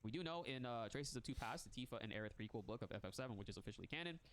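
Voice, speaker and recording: A man hosting a casual reaction stream, congested from a cold, excitable and slangy, laughing often. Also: mid-range voice, very fast. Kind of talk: casual reaction chat, reading text aloud.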